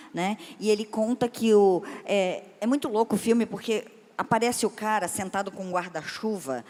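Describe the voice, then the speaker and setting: A woman's voice: on the low side, a little mellow. Chatty woman moderator in an echoing hall. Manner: chatty, enthusiastic, restarts often. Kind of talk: casual seminar talk. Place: large event hall. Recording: reverberant hall.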